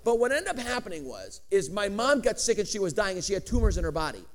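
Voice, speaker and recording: Animated middle-aged preacher with a high voice. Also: male voice, fast. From Chicago. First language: Greek. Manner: animated, swinging inflections up and down, joking asides. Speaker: pastor. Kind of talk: sermon.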